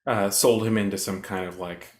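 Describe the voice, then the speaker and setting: A man co-hosting a casual art discussion, speaking thoughtfully and pausing on fillers as he hunts for words. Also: lowish voice, steady tone. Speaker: co-host.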